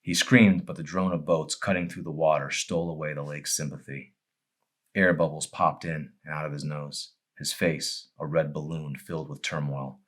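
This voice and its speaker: Low-pitched man reading a novel aloud. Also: little pitch movement, normal speed, a bit bright. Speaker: author reading own novel. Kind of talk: audiobook-style narration with casual introduction.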